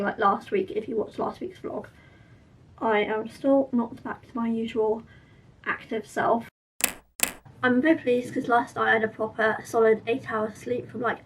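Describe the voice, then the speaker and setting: A young woman with a croaky, sore-throated voice, chatting tiredly through a casual diary vlog. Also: on the high side, far from the mic, low-quality recording. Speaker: vlogger.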